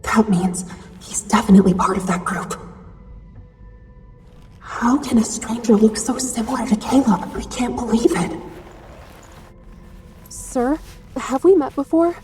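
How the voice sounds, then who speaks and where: An actress playing an earnest, searching young heroine in a sci-fi game, speaking softly and hesitantly with feeling. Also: female voice, fairly high pitch, a little slow, pauses often, faint music behind, close to an everyday voice. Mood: shocked, searching. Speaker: voice actor in a game cutscene. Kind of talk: dramatic game voice acting.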